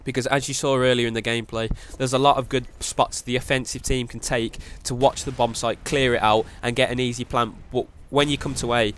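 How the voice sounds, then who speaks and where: A young man with a cold narrates gameplay in a quick, steady flow. His voice sounds nasal and stays flat, with faint gunfire and explosions from the game behind him. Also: medium pitch, slight background noise. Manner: quick, even delivery with repeated "very, very". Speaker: gaming commentator. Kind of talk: gameplay commentary with tips.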